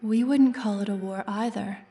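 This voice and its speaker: A woman playing a calm, polite synthetic android in a sci-fi audio drama, formal and measured. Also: mid-range voice, recorded from a distance, natural voice. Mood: calm, guarded. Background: music.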